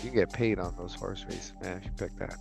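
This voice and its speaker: A man with a lowish, gravelly voice chats as a guest on a stream. He is laid-back and reacts in short bursts, with some casual swearing. Faint music runs behind him. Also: steady tone, brisk. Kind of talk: casual chat.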